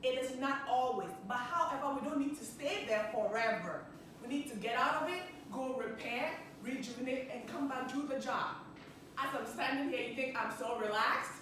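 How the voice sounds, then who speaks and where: High-voiced Ghanaian woman in an echoey room. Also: normal speed, a bit bright. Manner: cheerful, chatty, laughs often, asks the audience questions. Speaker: workshop speaker. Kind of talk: interactive stress-relief workshop. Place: meeting room with a small live audience. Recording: echoey room, mic far off, background noise.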